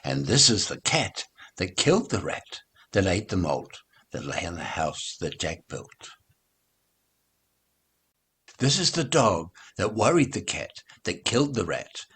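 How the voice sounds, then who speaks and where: Slow, husky man reciting nursery rhymes. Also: low voice, big pitch swings, fairly bright tone. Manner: measured, rhythmic delivery.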